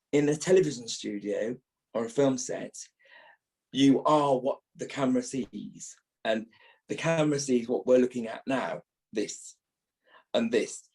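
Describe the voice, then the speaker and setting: A man's voice: mid-range voice, dark tone, low-quality recording. A man in his fifties speaking as an actor being interviewed, reflective, stressing points by repeating phrases. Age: 50s.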